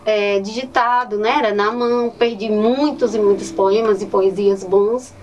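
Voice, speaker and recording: A woman's voice: mid-range voice, steady tone, a little slow, darkish tone, muffled recording. A woman with a Northeastern Brazilian accent, a teacher answering interview questions earnestly and often adding "né". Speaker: teacher and poet, interview guest. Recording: distant mic with faint ambient noise.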